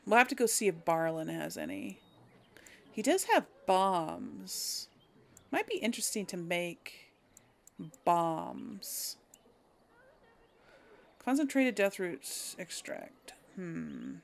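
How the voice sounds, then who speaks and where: A woman's voice: fairly low pitch, very animated, slow, vocal fry, long pauses. A woman hosting a let's play, thinking aloud in casual, hesitant gameplay commentary. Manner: musing aloud, hesitant deliberation.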